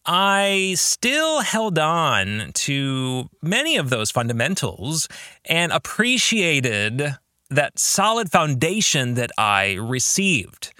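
Middle-aged male podcast host, slightly high bright voice, earnest and deliberate, musing in a reflective solo talk. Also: sing-song, clear, resonant tone, dry recording, clean studio sound. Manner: earnest, deliberate phrasing with rhetorical questions. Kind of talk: reflective book club podcast monologue.

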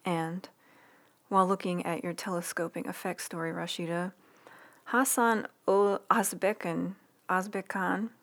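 A woman reads a personal essay aloud in an ASMR read-along style, soft, unhurried and intimate, with audible breath and lip sounds. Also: fairly low pitch, fairly even intonation. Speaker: writer reading own newsletter aloud. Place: quiet room indoors. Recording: quiet room hiss, close breath sounds.

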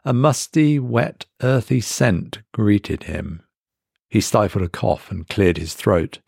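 A man reading a scripted horror story as a dramatic narrator, measured and deliberate with pauses for effect. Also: fairly low pitch, creaky, darkish tone, breathy edge, dry recording, studio quality.

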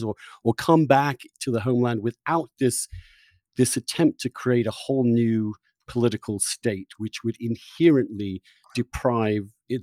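A man's voice: mid-range voice, dark tone, no room sound. A man hosting an interview, putting probing questions in a conversational way and insistently rephrasing them as he goes. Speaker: podcast host, interviewer.

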